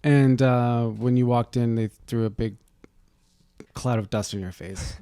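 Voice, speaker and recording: A man's voice: medium pitch, steady tone, unhurried, mellow, clean tone, dry recording. A man co-hosting a podcast, tossing out sarcastic, casual comedic remarks. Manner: sarcastic, profane, interjecting. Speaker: podcast co-host.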